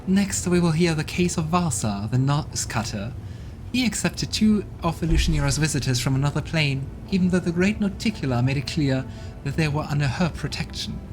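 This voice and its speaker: A man's voice: on the high side, animated, moderate pace, pitched above the performer's own voice, lightly acted, poor audio. A man voicing a stern woman who judges a demon court, with music in the background. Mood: proclaiming.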